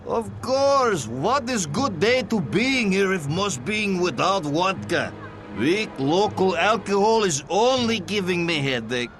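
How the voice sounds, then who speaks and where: A comically earnest Soviet courier with a thick Russian accent and mangled grammar. His voice sits high and bounces around in pitch, at an unhurried pace. It is broad video game character acting. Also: big pitch swings, music in the background, full character voice. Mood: chummy, homesick. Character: cheerful, gullible male Soviet KGB courier, broken English.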